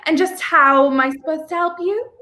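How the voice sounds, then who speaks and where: A woman's voice: very high-pitched, normal speed, sharp tone. A young woman acting a prim, haughty young lady in a 1930s-style radio play. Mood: wary. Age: 20s.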